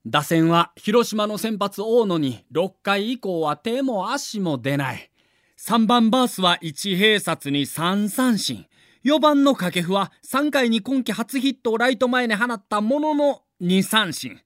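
A man's voice: high-pitched, animated, slow pace, fairly bright tone, dry recording, studio quality. A man giving dramatic scripted narration for an audio documentary.

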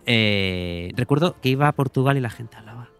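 A man's voice: medium pitch, animated, moderate pace, fairly bright tone, soft background music. A man with a Spanish accent from Spain co-hosting a podcast, telling jokey, rambling anecdotes in casual conversation. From Pontevedra, Galicia, Spain. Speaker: podcast co-host.

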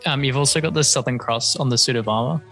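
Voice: medium pitch, quick pace, a bit bright, faint music behind. An interviewee from Australia, answering plainly. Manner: straightforward conversational.

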